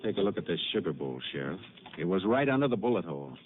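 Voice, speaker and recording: A steady American lawman with a fairly low voice and a deliberate, matter-of-fact way of talking. He is a methodical Texas Ranger in an old western radio drama. The sound is very narrow and noisy, like an aged broadcast. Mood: reasoning. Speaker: lead actor and narrator. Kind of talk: 1950s radio drama acting with first-person narration.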